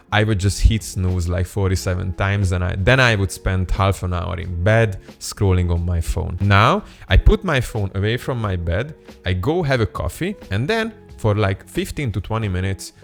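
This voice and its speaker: A young man whose first language is Hungarian, talking earnestly and upbeat to camera in a casual vlog, with small laughs and restarts. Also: lowish voice. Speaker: vlogger. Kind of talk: casual direct-to-camera talk.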